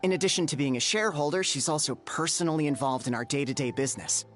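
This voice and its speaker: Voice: medium pitch, fast talker, close to an everyday voice. A voice actor playing a flustered, eager-to-please bathhouse owner in a game, nervous and overly polite. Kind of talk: game voice acting. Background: faint game music.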